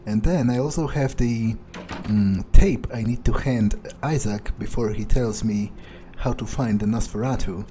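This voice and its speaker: A man's voice: lowish voice, measured pace, mellow, slight background noise. A man narrating a video game playthrough in character, thinking aloud in a hesitant, understated way. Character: calculating, detached vampire mercenary for hire. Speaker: player voicing their character in a let's play.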